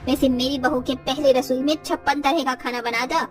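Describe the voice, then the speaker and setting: A woman's voice: sits high, fairly even intonation, normal speed, recorded from a distance, soft background music, lightly acted. A woman playing a nosy, pushy, taunting village neighbour in moral-story cartoon dialogue.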